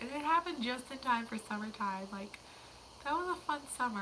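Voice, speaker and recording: A young woman hosting a casual livestream, hoarse and losing her voice to a cold, chatting in a rambling way that slips into whispers. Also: fairly high pitch, bright, forceful tone, slight background noise, poor audio. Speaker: livestream host.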